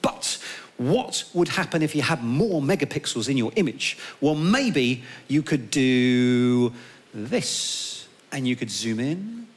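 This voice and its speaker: A man presenting live on stage, addressing the audience directly in an animated way. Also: mid-range voice, slow. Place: theatre stage.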